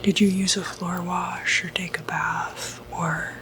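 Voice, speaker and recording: Slow whispering woman, ASMR doctor roleplay. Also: fairly low pitch, little pitch movement, fairly bright tone, rough recording. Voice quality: breathy, unvoiced whisper. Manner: hesitant, reassuring bedside manner. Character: calm, reassuring doctor who treats demonic possession. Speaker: ASMR performer playing a doctor.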